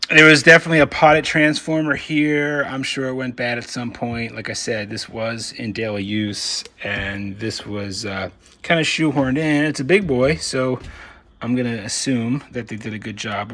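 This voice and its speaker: A man's voice: mid-range voice, sharp tone. A man hosting a hands-on electronics video in casual, unscripted commentary, thinking aloud as he inspects and sometimes trailing off. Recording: clear background noise, room ambience.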